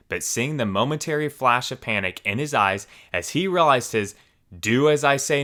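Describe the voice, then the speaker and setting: Bright-voiced man reading stories aloud. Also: on the low side. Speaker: story channel host.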